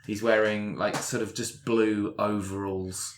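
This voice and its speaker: Guy, lowish voice, making up a scene on the spot, bit halting, adds detail after detail. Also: flat delivery, slight background noise. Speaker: player in a roleplaying show. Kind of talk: improvised scene description.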